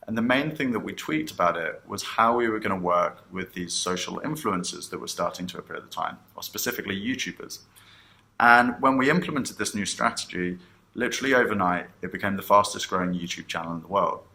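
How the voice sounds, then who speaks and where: A man with a low-pitched, bright and forceful voice presents like a company founder announcing a product. His delivery is rehearsed, with emphatic sentence openings that tail off softly at the ends. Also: a little fast, vocal fry.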